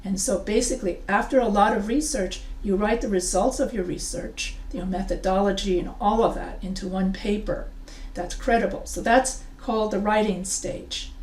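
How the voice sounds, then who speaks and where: A woman's voice: mid-range voice, moderate pace, darkish tone. A middle-aged woman, a professor of English composition, giving an unscripted lesson to her webcam and gesturing a lot as she explains. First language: not French. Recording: echoey room, a bit far off.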